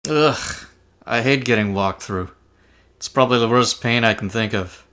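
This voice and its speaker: A man's voice: medium pitch, normal speed, fairly bright tone, lightly acted. A man playing a mild, principled, squeamish ghost in a sitcom table read. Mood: pained, fussy.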